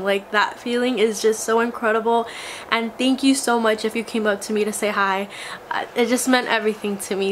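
A young woman in her twenties, a beauty vlogger, chatting casually and gushing over a haul, her voice a little scratchy from a cold. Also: a little high. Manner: gushing, enthusiastic, exclamatory. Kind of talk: casual makeup haul vlog. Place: small indoor room before a glitter backdrop.

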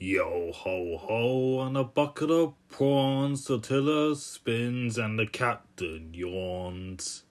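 A salty dockside cartoon engine, played by a man, talking like an old seafarer. The words come slowly in a drawn-out singsong. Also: medium pitch, animated. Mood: jolly.

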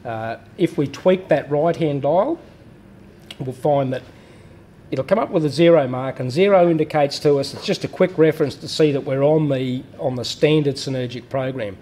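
A man's voice: a little high, moderate pace, dark tone, slight background noise. A man in a workshop explaining a welding machine step by step, plain-spoken with fillers and restarts. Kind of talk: hands-on technical explanation.

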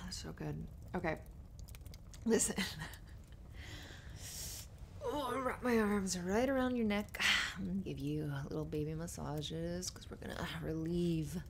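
A woman playing an affectionate, playful writer girlfriend in an intimate ASMR roleplay, gently reassuring her partner in a giggly, rambling way. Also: fairly low pitch, natural voice. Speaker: audio roleplay performer. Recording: noisy fireplace ambience behind voice. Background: fireplace ambience.

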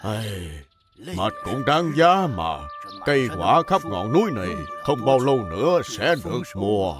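A man playing a kindly old grandfather in a children's cartoon dub, gentle and unhurried. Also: mid-range voice, very animated, over music. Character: kindly old grandfather tending fruit trees.

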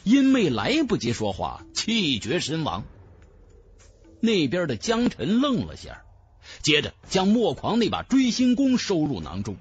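A man's voice: on the high side, wildly swooping pitch, a little slow, husky, highs cut off, faint music behind. A man narrating a fantasy audiobook in a dramatic scripted style.

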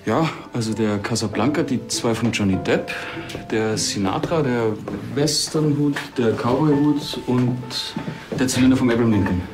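A man's voice: medium pitch, moderate pace, natural voice. Man playing an unassuming shop assistant over music. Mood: matter-of-fact. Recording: music behind the voice.

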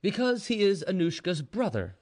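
A man puts on a goading tavern keeper from a storybook tale, with a high voice that leaps up and down. The delivery is exclamatory and taunting, egging the listener on with mockery. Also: very animated, moderate pace, darkish tone. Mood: needling. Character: mocking, goading tavern keeper plying a drunkard.

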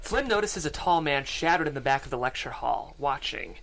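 Higher-voiced man reading screen directions. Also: expressive, normal speed, fairly bright tone, slight background noise. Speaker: narrator reading stage directions at a table read. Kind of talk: scripted narration, screenplay read aloud. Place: small podcast studio. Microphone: studio microphone on desk.

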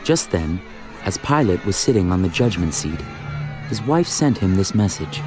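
A man narrating scripture from a script in a measured, dramatic storytelling manner. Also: low voice, very animated. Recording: music behind the voice.

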